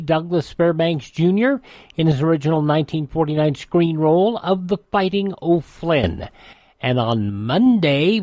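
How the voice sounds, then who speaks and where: A male radio host with a slightly high voice runs through program announcements conversationally. The sound is close and dry, like a studio. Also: darkish tone, dry recording.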